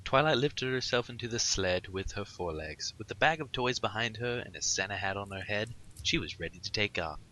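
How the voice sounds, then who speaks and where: A man's voice: lowish voice, expressive, brisk, hardly any pauses, a little hiss or hum, rough recording. A man co-hosting and narrating a fan fiction story in a read-aloud style. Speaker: co-host, narrator. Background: loud background music.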